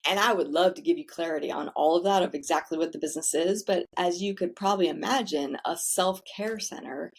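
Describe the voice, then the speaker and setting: A woman with a somewhat low voice, telling her story in a casual interview. She is candid and chatty, and drops the odd swear word without fuss. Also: fairly quick, vocal fry, hardly any pauses, slightly rough. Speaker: podcast guest, founder of a self-care center.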